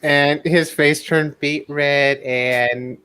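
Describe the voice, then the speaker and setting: A man telling casual anecdotes in a group chat, halting and hesitant with frequent pauses. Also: a little high, fairly even intonation, sharp tone, clear, resonant tone. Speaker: panelist on a livestream discussion.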